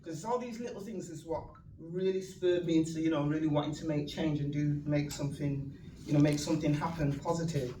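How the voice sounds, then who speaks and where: A woman's voice: low voice, fairly quick, warm tone, a little hiss or hum. A woman in her fifties with a Mancunian accent, speaking as a guest at an event. She tells her story in a chatty, humorous way and laughs often. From Manchester, England. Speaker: guest speaker, community activist. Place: event venue with an audience. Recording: echoey room, distant mic, ambience.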